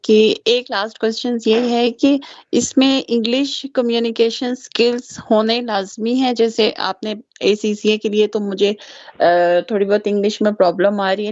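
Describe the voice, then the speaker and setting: A woman's voice: medium pitch, little pitch movement, normal speed, a little mellow, no room sound, highs cut off. A woman student in an online class asking her instructor a question politely and hesitantly, with many fillers.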